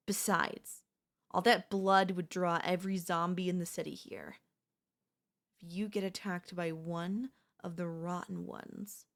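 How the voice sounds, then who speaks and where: A chatty female zombie, played by a woman, wheedles and reasons as if making casual conversation. Her pitch moves in animated swings while the words come slowly. It is sly, comedic audio roleplay with a conversational, coaxing tone. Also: gravelly. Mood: casually warning.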